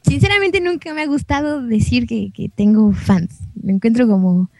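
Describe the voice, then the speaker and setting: A woman's voice: on the high side, moderate pace, dark tone, no echo. A woman in her twenties with a central Mexican accent, chatting casually as a guest in a girlish, sentimental way. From Puebla, Mexico. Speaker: guest, singer and voice actress. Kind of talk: casual chat on a gaming show.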